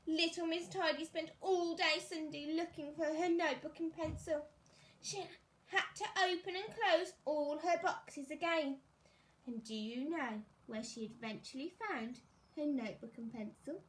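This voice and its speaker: A woman teacher reading a children's story aloud, expressively and deliberately, as if to young pupils. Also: high-pitched, fairly bright tone, rough recording.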